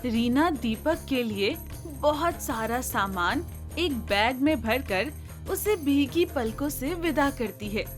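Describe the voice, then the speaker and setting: A woman narrating a scripted moral story as storyteller. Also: fairly high pitch.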